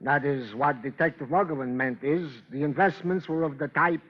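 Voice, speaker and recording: A man's voice: a little high, normal speed, natural voice. A man playing a fussy, long-winded police sergeant in an old radio crime drama, with stilted, formal report phrasing. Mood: earnest, wry. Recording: dull vintage radio recording.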